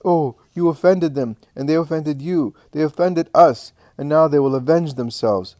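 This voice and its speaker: A man's voice: fairly high pitch, moderate pace, a little mellow, no room sound, voice pushed up, lightly acted. A man voicing an anguished, frightened woman who protests and pleads in an agitated way, in a dramatic story reading.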